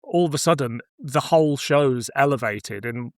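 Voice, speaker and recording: A man's voice: mid-range voice, normal speed, no room sound, clean studio sound. A man speaking as a podcast host, chatting casually and introducing items for review.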